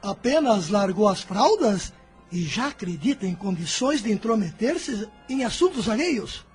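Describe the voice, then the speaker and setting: An actor playing a scornful, indignant grown eldest brother in a radio drama, accusing with sharp rhetorical questions. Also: male voice, high-pitched, sing-song, measured pace, soft background music.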